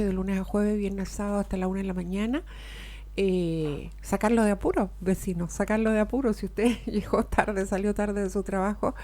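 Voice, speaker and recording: A woman with a Chilean accent hosting a casual radio talk show in a studio, chatting and reading sponsor spots. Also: medium pitch. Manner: chatty, warm asides, occasional laughs and filler "eh".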